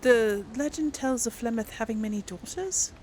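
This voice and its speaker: A woman's voice: fairly high pitch, moderate pace, darkish tone, a little hiss or hum, rough recording. A woman hosting a casual role-play let's play, speaking in a hesitant, thoughtful way. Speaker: gaming video host and role-player.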